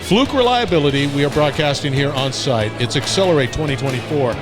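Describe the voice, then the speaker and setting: A man's voice: a little high, expressive, fairly quick, music in the background. A male podcast host interviewing a guest on site at a conference, upbeat and emphatic. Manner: upbeat, emphatic sign-offs. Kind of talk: interview chat. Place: onsite at a user conference.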